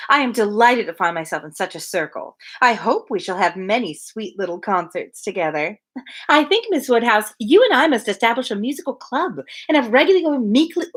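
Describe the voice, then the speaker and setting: A woman's voice: on the high side, slightly rough. A woman reading a novel aloud as a gushing, self-important, overfamiliar young married lady who chatters effusively. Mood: eager, gushing.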